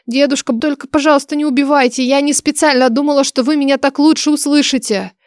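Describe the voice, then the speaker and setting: A woman's voice: on the high side, steady tone, a little fast, darkish tone, no room sound, clean studio sound, close to an everyday voice. A woman voicing a cheeky, curious young heroine in a fantasy audiobook, bold and chatty. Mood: frightened, apologetic. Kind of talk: audiobook character dialogue.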